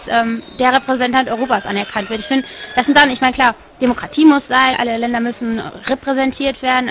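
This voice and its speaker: Fast-talking German woman, tinny sound. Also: on the high side, slight background noise. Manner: fast, animated, piles up examples. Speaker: interviewee. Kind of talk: lively interview answers. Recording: tinny, phone-like radio sound.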